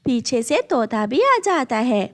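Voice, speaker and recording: Woman narrator, cartoon storytelling, high voice, very animated intonation, scripted. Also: slow pace.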